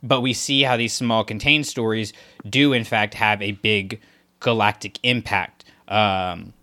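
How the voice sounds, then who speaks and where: A man's voice: fairly low pitch, steady tone, a little slow, bright, forceful tone. A man co-hosting a podcast, chatting casually about a story with many fillers and restarts. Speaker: podcast co-host. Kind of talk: casual discussion of a book.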